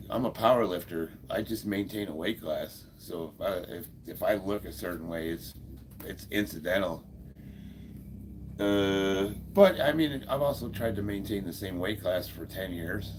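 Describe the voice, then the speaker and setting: A man in his fifties with a deep, gravelly voice, stuffed up, chatting casually on a livestream and answering viewer comments with frequent chuckles. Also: a little mellow, a little hiss or hum. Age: 50s. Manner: laid-back, chuckles often, many fillers. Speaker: livestream host.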